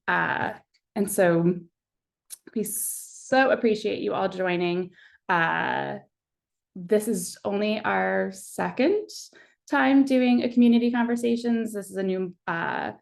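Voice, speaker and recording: A woman's voice: fairly low pitch, gravelly. A woman hosting an online community meeting on a video call, talking casually with many fillers. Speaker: webinar host.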